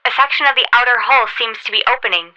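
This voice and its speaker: A woman's voice: on the high side, quick pace, pushed and bright, no echo, lo-fi sound. A woman playing an eager, brave and deferential spaceship officer in a sci-fi radio drama, reporting in a clipped, respectful military way. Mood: intent, reporting. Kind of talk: golden age sci-fi radio drama acting.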